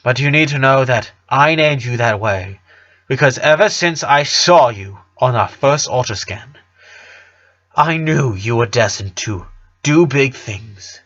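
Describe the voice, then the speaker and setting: An earnest, sentimental middle-aged father played by a male narrator in a story reading, talking in a heartfelt, explanatory way. The pitch is average for a man, and the recording sounds a little dull. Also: lively intonation, measured pace, fairly bright tone. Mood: downhearted, tender.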